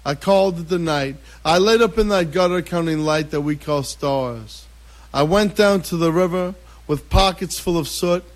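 A man's voice: on the high side, normal speed. A man performing spoken-word poetry with a chanting, repetitive cadence.

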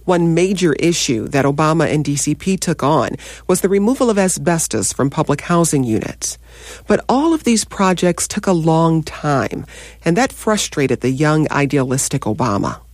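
A narrator reading a scripted documentary narration with lively intonation. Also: mid-range voice, normal speed, dry recording.

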